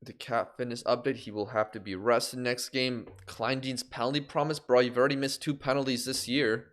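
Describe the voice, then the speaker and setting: A man's voice: mid-range voice, fairly even intonation, normal speed, a bit bright. A young man from Canada, chatting on a live game stream and reacting aloud to results.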